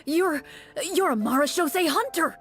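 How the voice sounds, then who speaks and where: A woman voicing a game master who acts out gruff and anxious townsfolk in a theatrical, expressive way for a video game. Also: high voice, normal speed. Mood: anxious, earnest. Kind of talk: tabletop game role-play, video game acting.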